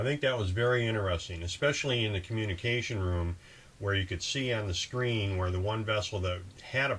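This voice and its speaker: A man hosting a boating video, explaining procedures in a casual, conversational instructional style. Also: on the low side. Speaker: host of a boating channel. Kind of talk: casual instructional talk with example radio calls. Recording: clear steady background ambient noise.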